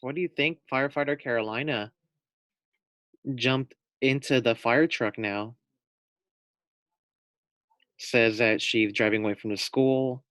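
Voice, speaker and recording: A man's voice: mid-range voice, fairly even intonation, measured pace, long pauses, fairly bright tone, dry recording. A man reading a children's storybook aloud as a teacher, expressively, putting questions directly to young listeners.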